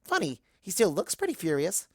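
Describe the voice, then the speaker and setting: A woman's voice: fairly low pitch, wildly swooping pitch, fairly quick, breathy edge, dry recording, studio quality. A woman narrating a story expressively in character, as a fan fiction reader.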